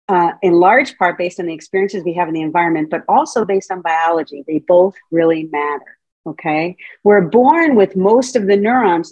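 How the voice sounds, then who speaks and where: A woman's voice: fairly low pitch, a little mellow, slightly rough, no echo, lo-fi sound. A middle-aged woman, a Montessori teacher trainer, giving a casual, playful talk over a video call and often asking her audience questions. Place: home room, indoors. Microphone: video call webcam.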